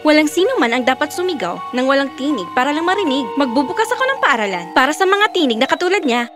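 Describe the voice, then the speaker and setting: A woman playing an excitable, talkative young princess in a fairy tale. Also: high-pitched, fast talker, over music. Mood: indignant.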